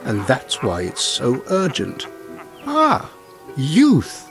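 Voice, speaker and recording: A storyteller acting out an older male village rabbi in a storybook tale. He is indulgent, amused and exclamatory, with pitch that leaps up and down over music. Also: huge pitch swings, drawn-out words, creaky, heavily acted. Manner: chuckling, exclamatory.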